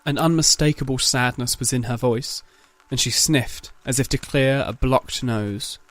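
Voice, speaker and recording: A man narrates a scripted dramatic story in a measured, literary manner. His voice sits at a medium pitch, with soft music running behind it. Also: no echo.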